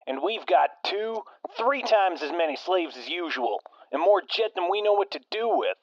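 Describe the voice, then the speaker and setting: A man's voice: sits high, expressive, normal speed, dry recording. A man playing a cocky, menacing raider boss who taunts and boasts, in dull lo-fi sound. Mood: swaggering.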